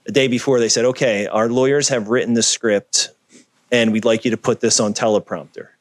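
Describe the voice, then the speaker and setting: A man from the United States, a video producer, talking in a relaxed, storytelling way as a guest on a video call, often adding "right?". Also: medium pitch, fairly quick, dry recording. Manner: animated storyteller, frequent "right?" tags. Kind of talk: conversational interview.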